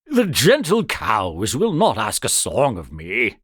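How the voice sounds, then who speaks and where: A man's voice: mid-range voice, wildly swooping pitch, slow pace, a bit bright, husky, dry recording, clean studio sound, full character voice. A man voicing a timid, humble medieval cowherd in a storybook, hesitant and plaintive. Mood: fearful, resigned.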